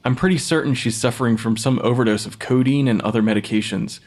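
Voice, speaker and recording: A man's voice: medium pitch, fast, a little mellow, close to an everyday voice. A man playing a clever, slightly awkward forensic pathologist with an analytical manner, acted naturally for an audio drama. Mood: brisk, businesslike. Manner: analytical, hesitant when cornered. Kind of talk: naturalistic audio fiction podcast acting.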